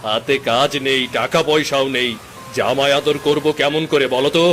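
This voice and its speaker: A man's voice: mid-range voice, fairly even intonation, moderate pace, a bit bright, close to an everyday voice. A man playing a worried, hard-up middle-aged village father in a Bengali cartoon, grumbling and resigned, over music. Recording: background music under the voice.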